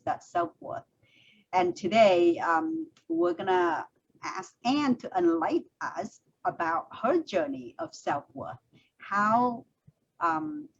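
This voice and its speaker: A woman hosts an interview with a lively, animated delivery and a gravelly edge to her voice. She talks thoughtfully and reaches for fillers often. The video-call audio is dull and lo-fi, with a faint hiss underneath. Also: mid-range voice. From Vietnam. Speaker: host. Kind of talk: conversational interview.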